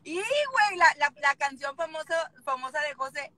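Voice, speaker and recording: Young woman on a phone live in a car, high sharp voice, joking around with lots of slang. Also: big pitch swings, normal speed, dry recording. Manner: playful, slangy, teasing. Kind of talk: casual chat on a live video call. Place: inside a car. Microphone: phone held at arm's length.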